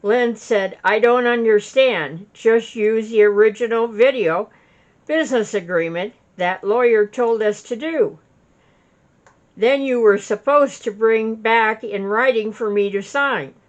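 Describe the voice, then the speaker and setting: A woman reading her own written witness statement aloud, recorded in low fidelity. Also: unhurried. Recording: dull lo-fi recording.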